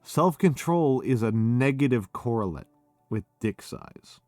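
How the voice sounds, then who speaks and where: A man's voice: medium pitch, animated, a little slow, a little mellow, dry recording, soft background music. A drunk man streaming a casual chat, rambling with stumbling restarts.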